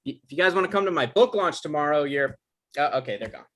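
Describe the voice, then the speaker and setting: A man's voice: a little high, lively intonation, fast talker, fairly bright tone. Man playing an anxious, defensive guy in his thirties. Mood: awkward, defensive. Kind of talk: script table read, conversational comedic acting.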